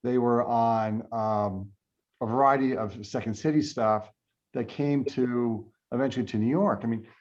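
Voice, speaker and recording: A man's voice: medium pitch, a little slow, a little mellow. Man, panel host, casual, tentative, deferential, muffled lo-fi call audio.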